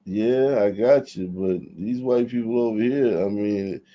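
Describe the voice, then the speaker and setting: A man's voice: fairly low pitch, a little slow, highs cut off. A man giving blunt, opinionated commentary in a casual chat, often restarting his sentences.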